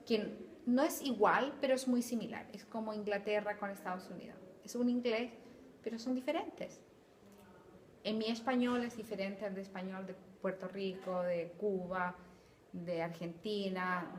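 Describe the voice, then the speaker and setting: A woman's voice: medium pitch, a little hiss or hum. A middle-aged woman from Chile, a Spanish teacher, answering interview questions thoughtfully with hesitant repeats.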